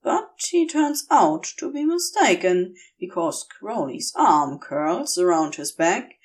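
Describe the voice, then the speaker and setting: A woman reading fiction aloud as a scripted, expressive story narration. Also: mid-range voice. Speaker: audio fiction reader.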